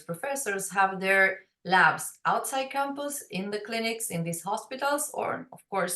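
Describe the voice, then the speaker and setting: A woman's voice: medium pitch, pushed and bright, roomy sound. A woman with a Uruguayan Spanish accent, co-head of an academic program, explaining it in an online presentation with frequent hesitations. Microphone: video call.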